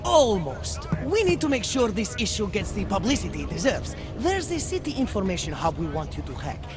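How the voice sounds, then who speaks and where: Excitable conspiracy journalist, high-pitched, very animated, rambling hipster delivery, game cutscene, music underneath. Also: male voice, brisk. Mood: pleased, scheming. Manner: theatrical, rambling, slangy hipster drawl. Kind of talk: video game cutscene acting. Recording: music behind the voice.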